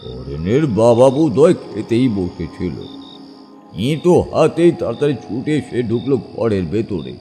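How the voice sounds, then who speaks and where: A man performs a haunted male witness in a horror audio drama. His delivery swings widely in pitch and breaks into halting, dread-filled pauses. Long reverb surrounds him, with music underneath. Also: very animated, mellow. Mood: shaken, bewildered. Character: Abani, haunted man retelling a terrifying village ghost memory.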